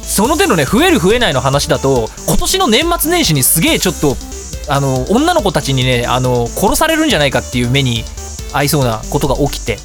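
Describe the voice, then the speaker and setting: A man's voice: on the high side, a bit bright. A man hosting a casual radio show, chatting in a jokey, self-deprecating way. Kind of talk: casual solo radio talk. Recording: background music under the voice. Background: music.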